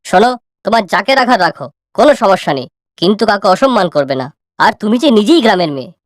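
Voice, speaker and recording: A woman's voice: medium pitch, very animated, fast, no room sound, studio quality, lightly acted. A woman voicing a firm, fair-minded middle-aged father in a cartoon. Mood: reproving. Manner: reproving, insistent.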